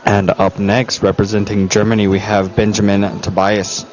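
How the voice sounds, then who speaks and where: A man commentating live on a martial arts competition, announcing athletes and scores in a measured, orderly way. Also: on the low side, monotone, darkish tone. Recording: muffled broadcast audio, music and crowd behind. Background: venue music and crowd noise.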